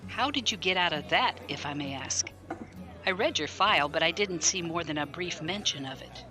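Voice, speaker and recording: A man's voice: a little high, lively intonation, moderate pace, music in the background, natural voice. A man acting a probing, blunt military defense lawyer in a science fiction audio drama, pointed, articulate and wryly skeptical. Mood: curious, wry.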